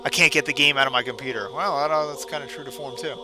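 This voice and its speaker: A man's voice: mid-range voice, steady tone, fast, low-quality recording. A man doing casual game commentary and mock-reading a story aloud, with a sarcastic, unimpressed manner. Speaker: gaming streamer and host.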